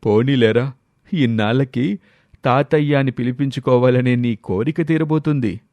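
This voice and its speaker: A man's voice: medium pitch, normal speed, a little mellow, no echo, natural voice. A man voicing a curious older male friend in a dramatised story, bantering and exclamatory. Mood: astonished.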